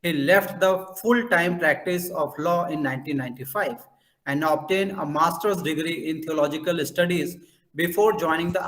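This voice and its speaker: A man hosts an online university session, reading a guest's introduction in a formal, steady way with the odd slip. His voice sits a little high for a man. A faint hiss runs underneath. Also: low-quality recording. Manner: formal, reads steadily with occasional slips.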